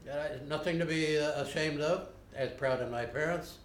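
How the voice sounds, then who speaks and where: An older man being interviewed, recalling his past in a plainspoken, unhurried way with frequent pauses. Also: fairly high pitch, slight background noise. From United States. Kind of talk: unscripted recollection. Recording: roomy home video recording.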